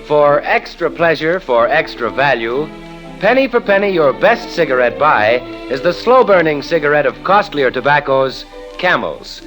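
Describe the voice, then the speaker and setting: Animated high-pitched male radio announcer. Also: moderate pace, poor audio. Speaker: commercial announcer. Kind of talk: 1930s radio advertisement read. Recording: vintage radio recording with music.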